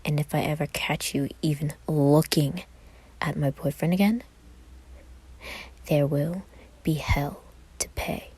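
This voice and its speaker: A woman voicing a giant, menacing man who speaks quietly and gravelly in an audio story. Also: low-pitched, steady tone, slow, pauses often, heavily acted, low-quality recording. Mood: quiet menace. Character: giant menacing dark-clad man, secretly soft-hearted rescuer.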